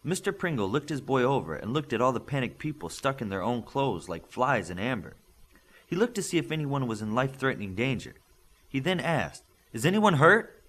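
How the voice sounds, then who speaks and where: Expressive male audiobook narrator with lively pitch. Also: brisk. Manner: expressive storytelling, acting out dialogue. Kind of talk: scripted narration of a children's superhero novel.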